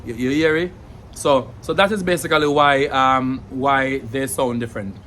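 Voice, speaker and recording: A man's voice: mid-range voice, normal speed, a bit bright, a little hiss or hum. A young adult man with a Jamaican accent, explaining in a casual, thoughtful way to camera, with many fillers and repeated words. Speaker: language vlogger.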